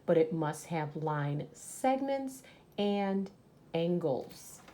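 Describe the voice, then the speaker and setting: A woman's voice: on the low side, lively intonation, drawn-out words, background noise. A woman teacher reading a children's educational book aloud and explaining it to young pupils as she goes. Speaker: third grade teacher.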